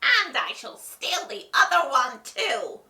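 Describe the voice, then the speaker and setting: A woman's voice: high-pitched, sing-song, slow, pushed and bright, distant mic, pitched above the performer's own voice, heavily acted. A woman voicing a gloating, bad-tempered wicked witch in a storybook, with a cackling, screaming delivery. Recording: roomy echo, away from the mic.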